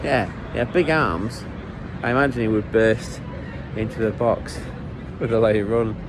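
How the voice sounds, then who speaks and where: A man vlogging as he walks city streets, chatty and jokey with rambling asides, a bit hoarse and run down, talking into a handheld camera. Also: on the low side, unhurried. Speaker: football travel vlogger. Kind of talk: walking travel vlog chat. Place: outdoors on city streets, walking. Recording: street traffic noise behind.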